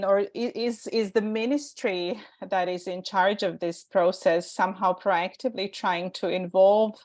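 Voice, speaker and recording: A woman with a Croatian accent moderates a webinar in a slightly low voice. She talks informally and hesitantly, rephrasing her sentences as she goes. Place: online webinar call.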